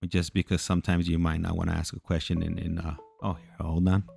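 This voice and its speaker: A man chatting casually as he hosts a livestream, halting, repeating himself and losing his thread. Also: sits low, completely flat delivery, vocal fry, darkish tone, soft background music. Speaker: livestream host. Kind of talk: casual rambling livestream chat.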